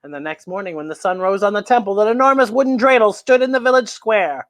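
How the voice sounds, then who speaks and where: A man's voice: high voice, fast, fairly bright tone. Man playing a dramatic old storybook grandpa. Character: old grandpa potato pancake, clueless tall-tale teller.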